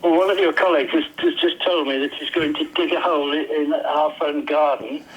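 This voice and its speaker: A man's voice: a little high, steady tone, fairly quick, a little hiss or hum. A man on a phone call, sounding incredulous as he objects to what he is told. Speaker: prank call victim. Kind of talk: phone conversation. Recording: phone line with voices behind.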